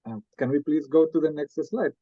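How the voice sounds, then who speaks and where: A man's voice: on the high side, fairly even intonation, a little fast, dry recording. A young man from Rica, giving a hesitant slide presentation to an audience with many fillers. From Costa Rica. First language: Spanish. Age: young adult. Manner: hesitant, frequent uh and um fillers, asks for next slide. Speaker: student presenter. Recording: slightly muffled, lo-fi.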